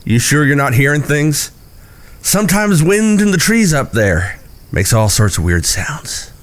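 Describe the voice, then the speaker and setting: A man's voice: fairly high pitch, sing-song, measured pace. A man playing a naive, eager young ranch hand in an audio drama, speaking folksy English. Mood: puzzled. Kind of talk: western audio drama acting.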